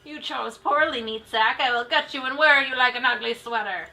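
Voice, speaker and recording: An actress playing a cruel, mocking undead villainess in a fantasy game, taunting and haughty. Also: female voice, a little high, moderate pace, vocal fry, sharp tone. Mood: menacing. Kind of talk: scripted fantasy game dialogue.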